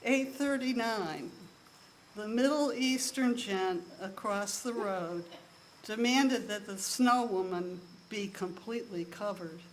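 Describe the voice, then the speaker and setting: A woman with a soft voice performing a deadpan comic monologue at a live show, in a measured, list-like delivery with timed pauses. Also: medium pitch, a little hiss or hum, low-quality recording.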